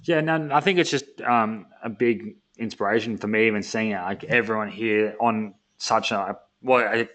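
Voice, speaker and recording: This is a young man wrapping up a sales webinar. His voice sits on the low side, and he talks casually with lots of fillers. His energy is upbeat and full of hype. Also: moderate pace, few pauses, a bit bright. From Australia. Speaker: webinar host.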